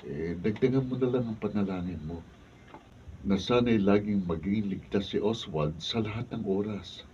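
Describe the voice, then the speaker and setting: A man with a Filipino accent playing a calm, candid policeman and concerned friend in a radio drama. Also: on the low side, moderate pace, lightly acted. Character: level-headed policeman, concerned friend of a hothead. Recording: muffled, distant, noisy studio capture.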